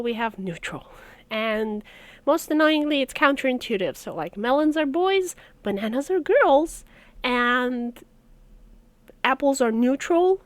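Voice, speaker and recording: A woman's voice: a little high, no room sound. Chatty woman, dry close mic. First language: Romanian. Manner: chatty, laughs often, wry. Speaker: language tutorial host. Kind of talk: casual explainer video.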